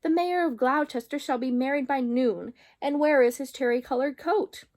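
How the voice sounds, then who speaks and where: A woman's voice: high voice, normal speed, darkish tone, close to an everyday voice. A woman voicing a poor, despairing old tailor in a storybook, lamenting in old-fashioned exclamations. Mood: woeful.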